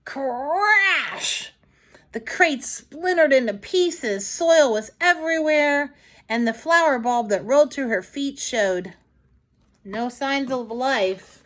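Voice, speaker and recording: Slow, animated woman reading a children's story. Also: high-pitched. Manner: dramatic, deliberate storybook delivery with asides to listeners. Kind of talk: expressive read-aloud storytelling for young children.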